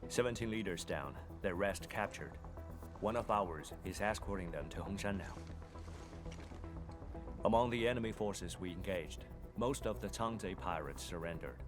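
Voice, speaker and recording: An actor playing a stoic, terse military operative in a video game, speaking formally and bluntly. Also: male voice, sits low, normal speed, over music, natural voice. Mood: formal, matter-of-fact.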